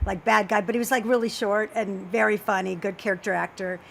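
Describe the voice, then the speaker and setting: A woman's voice: mid-range voice, fairly even intonation, a little fast, creaky, fairly bright tone, a little hiss or hum. A woman hosting a casual podcast interview, chatting in an effusive, gushing way. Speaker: podcast host.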